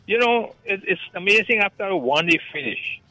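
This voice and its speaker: A man's voice: high-pitched, normal speed, vocal fry, pushed and bright, no room sound, low-quality recording. A man with a Guyanese accent, calling into a radio show and rambling on, often stammering and repeating his words. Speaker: caller. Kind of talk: call-in radio chat.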